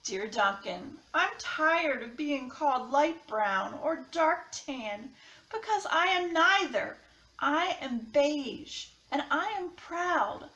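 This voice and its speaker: A woman reads as a slighted storybook crayon, proud and resentful. The delivery is slow and indignant, bright in tone, with room echo around it. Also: high voice, expressive, muffled recording, slight background noise, full character voice. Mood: indignant, sulky. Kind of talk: children's picture-book read-aloud. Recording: roomy, echoing sound.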